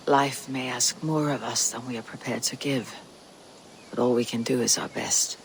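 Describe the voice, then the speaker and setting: An actor playing a blunt, stoic Viking raider in a video game cutscene, speaking in a measured, solemn way. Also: male voice, medium pitch, steady tone, vocal fry, a little hiss or hum, natural voice, low-quality recording. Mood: solemn, consoling.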